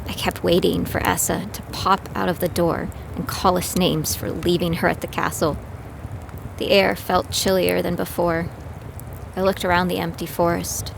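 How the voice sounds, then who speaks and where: Young female reluctant fighter in an audio drama, self-doubting and grieving, lowish voice with vocal fry. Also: flat delivery, normal speed, background noise. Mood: somber narration. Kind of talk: scripted audio drama narration and dialogue.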